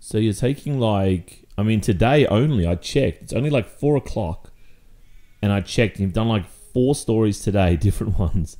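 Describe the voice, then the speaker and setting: A man with an Australian accent playing a jealous, fed-up young boyfriend confronting his girlfriend in an intimate ASMR roleplay, speaking in a halting, conversational way. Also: fairly low pitch, expressive, mellow, lightly acted. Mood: confused, accusing.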